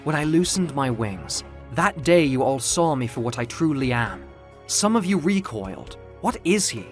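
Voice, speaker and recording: A man's voice: medium pitch, sing-song, moderate pace, soft background music, natural voice. A man playing a proud, indignant winged muse who speaks in a theatrical, grandiose, declamatory way for an audio comic book. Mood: impassioned.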